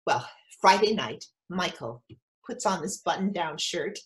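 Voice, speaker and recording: A woman's voice: on the low side, animated, measured pace. A woman playing an eager, protective, overinvested mother in a comic monologue reading. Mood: anxious. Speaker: actor in a readers theatre.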